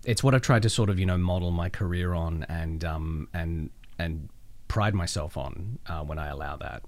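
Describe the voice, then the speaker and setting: Aussie guy, lowish voice, voice actor chatting on a podcast, thinks out loud. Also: moderate pace. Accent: Australian. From Australia. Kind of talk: casual interview conversation.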